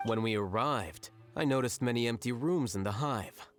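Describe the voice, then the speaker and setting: An actor playing a cool, analytical scholar in a video game, measured, blunt and articulate. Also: male voice, medium pitch, soft background music, natural voice. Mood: composed, dismissive. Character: cool, self-assured, analytical male scholar.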